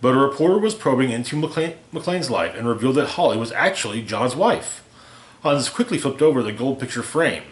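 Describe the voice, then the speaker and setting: A man with a medium-pitched voice reads a rhyming holiday story aloud. His delivery is theatrical and deliberate, shaped around the verse. The recording is roomy and echoey, like a home reading. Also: animated. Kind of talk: expressive read-aloud of a rhyming holiday parody.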